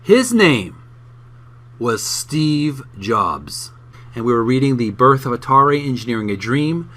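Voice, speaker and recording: A man reading a book aloud for his video channel in an emphatic, deliberate way, occasionally stumbling over words. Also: medium pitch, sing-song, fairly bright tone.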